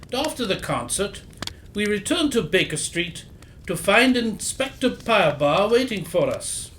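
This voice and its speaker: A man playing a genial, old-fashioned gentleman doctor who recounts old cases in an audio drama. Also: sits high, sing-song, a little slow, slight background noise, full character voice. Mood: reminiscing. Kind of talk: audio theatre acting, storytelling.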